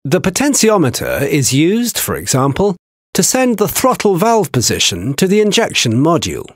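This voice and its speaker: Husky-voiced man narrating a technical lesson. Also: sits high, huge pitch swings, no room sound, studio quality. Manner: measured, explanatory delivery. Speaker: narrator of a training video.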